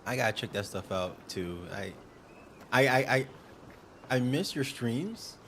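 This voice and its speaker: A young man streaming a game, chatting casually with viewers in a smooth, upbeat, joking way. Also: medium pitch, lively intonation, a little slow, a bit bright, a little hiss or hum. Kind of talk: casual livestream chat.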